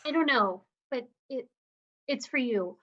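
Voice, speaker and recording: A woman's voice: high-pitched, animated, unhurried, sharp tone, full character voice. A woman playing a defiant young female hospital patient in a script reading. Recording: dull lo-fi audio.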